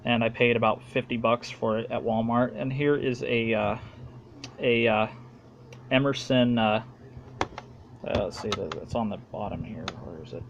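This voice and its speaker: A man with an American accent giving a casual, halting, plain-spoken show-and-tell as a hobbyist making a home video. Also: mid-range voice, muffled recording, slight background noise.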